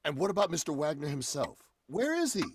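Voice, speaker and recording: An actor playing a bewildered, impatient gentleman in 1940s radio horror style, questioning everything in an exasperated, emphatic way. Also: a little high, sing-song, fairly quick, noisy background. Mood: alarmed.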